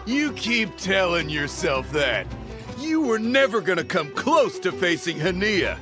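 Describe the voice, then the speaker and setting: A man's voice: sits high, very animated, measured pace. A man voicing an arrogant, hot-headed young beast tamer in a video game, boastful and overwrought. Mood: haughty. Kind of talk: animated video game voice acting. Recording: music behind the voice.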